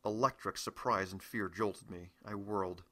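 A middle-aged American man narrates a novel in the first person. His voice is fairly low yet bright and forward, delivered as a scripted audiobook reading by the author. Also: fairly even intonation, normal speed.

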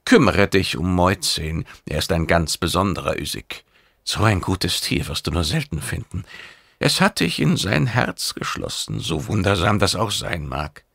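A man's voice: deep voice, expressive, moderate pace, vocal fry, fairly bright tone, husky, no room sound, studio quality, heavily acted. A man voicing a stern, demanding oracle mentor who lectures imperiously in an audiobook. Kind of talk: audiobook character acting.

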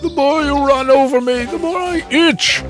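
A woman's voice: high voice, moderate pace, soft background music, natural voice. A woman playing a haughty cartoon lion king. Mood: irritated. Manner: haughty, dismissive. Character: proud grumpy male lion, king of the forest. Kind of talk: cartoon voice acting.

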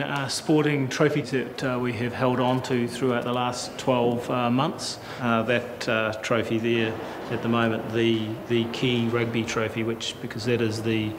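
A man in his forties with a New Zealand accent, a headmaster giving a formal, measured and halting explanation. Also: mid-range voice. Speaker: school headmaster. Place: brick school corridor by trophy cabinets. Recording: reverberant hall with clear background noise.

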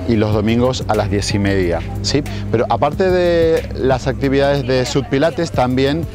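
A man's voice: medium pitch, lively intonation, a little slow. A man in his forties, a fitness instructor presenting classes informally to camera outdoors. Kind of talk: informal promotional talk to camera. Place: outdoors at a seaside marina. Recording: music playing behind the voice.

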